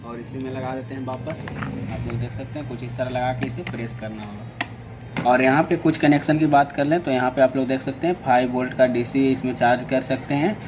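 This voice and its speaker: A man's voice: medium pitch, steady tone, fairly quick, dull audio. An Indian man hosting an informal product unboxing, explaining things step by step in a repetitive way. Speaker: product reviewer and video host. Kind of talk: unboxing demonstration narration. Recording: clearly noisy amateur recording.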